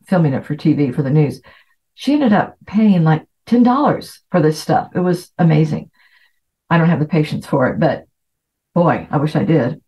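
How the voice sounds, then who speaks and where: A woman's voice: low-pitched, mellow. A middle-aged woman who grew up in Latin America, giving an informal, chatty self-help talk as a host. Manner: chatty, anecdotal. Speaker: podcast host. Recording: dull, lo-fi voice recording.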